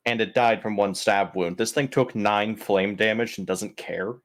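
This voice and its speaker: A man's voice: on the low side, fairly even intonation, normal speed. A man playing a tabletop game, chatting casually and bluntly questioning things.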